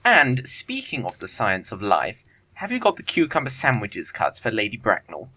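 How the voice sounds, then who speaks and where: Male actor as flippant young English dandy for Victorian comedy audio play: lively, swooping delivery, bright tone, lofty and arch. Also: fairly low pitch, moderate pace, slight background noise, full character voice. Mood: breezy, self-satisfied. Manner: arch, lofty, affected phrasing. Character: flippant young upper-class English bachelor, witty idle dandy.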